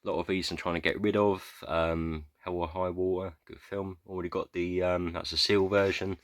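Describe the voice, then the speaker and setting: A British man giving a rambling, casual tour of his film shelves, hesitant with many fillers, clearing his throat often as his voice goes hoarse. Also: sits low, level tone, normal speed, no room sound. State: going hoarse, losing his voice. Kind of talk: casual room tour vlog.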